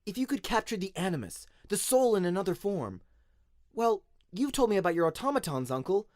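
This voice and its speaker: An eager young boy speaks high and very expressively, earnest and insistent. He is a naive apprentice in a radio-play tale. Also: sing-song, fairly quick, darkish tone, dry recording, clean studio sound.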